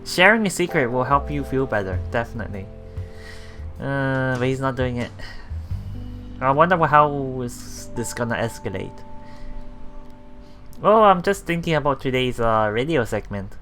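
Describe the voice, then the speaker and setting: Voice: medium pitch, animated, normal speed, long pauses, fairly bright tone. A let's play host giving casual, hesitant commentary on a visual novel, reading and translating the dialogue aloud. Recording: music playing behind the voice. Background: game background music with guitar.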